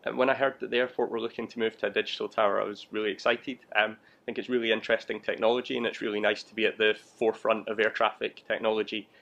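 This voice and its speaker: A man in his thirties talks about his job as in an interview. His voice is on the low side with a fairly even melody and noticeable vocal fry. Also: quick pace, hardly any pauses, dull audio. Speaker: air traffic control watch manager. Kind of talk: interview, explaining his work.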